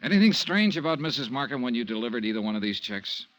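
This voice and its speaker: An actor playing a dry, clipped insurance investigator in a 1950s radio detective drama. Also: male voice, mid-range voice, very animated, fast, a bit bright, poor audio. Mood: probing, dry. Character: hardboiled, dryly probing male insurance investigator. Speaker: detective and narrator. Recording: restored 1950s radio with music.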